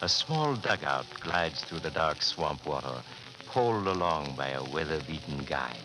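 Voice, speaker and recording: Eerie radio doctor, very deep bass, solemn, ominous narration, noisy vintage recording. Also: male voice, animated, a little slow. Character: mysterious, eerie horror host doctor. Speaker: narrator and host. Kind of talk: 1940s radio scripted narration.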